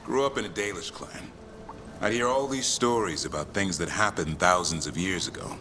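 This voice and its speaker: An actor playing a proud, adventurous elf monster hunter in a video game, talking in short, clipped sentences. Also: male voice, low-pitched, normal speed, noisy background, natural voice. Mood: reflective. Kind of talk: video game dialogue acting.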